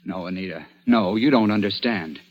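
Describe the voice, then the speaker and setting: A man's voice: deep voice, normal speed, natural voice. An actor playing an agitated, stubborn young man-about-town caught up in an old-time radio mystery, speaking in a dramatic, exclamatory way. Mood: groggy, pleading. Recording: dull, band-limited vintage radio recording.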